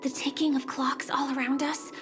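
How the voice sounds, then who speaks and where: High-pitched, wary young woman, played by an actress. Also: level tone, normal speed, music in the background, close to an everyday voice. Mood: pensive. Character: guarded, perceptive young woman, serious and wary. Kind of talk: video game voice acting.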